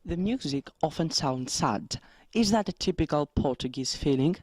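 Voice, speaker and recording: A man's voice: fairly high pitch, lively intonation, normal speed, a little mellow, no echo, clean studio sound. A young man from Greece reading out prepared interview questions outdoors in plain, careful English. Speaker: student interviewer. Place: outdoors in front of a museum.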